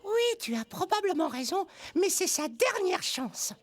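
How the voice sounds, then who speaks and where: A woman's voice: very high-pitched, very animated, unhurried, no room sound, full character voice. A woman voicing a modest, earnest young male rabbit hero in a boyish cartoon manner. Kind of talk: French cartoon character acting.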